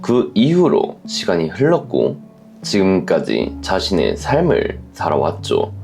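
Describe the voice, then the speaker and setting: A man hosting a letter-reading show, delivering scripted, reflective advice commentary in a polite, measured, earnest manner. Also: sits low, wildly swooping pitch, vocal fry, a little mellow, soft background music.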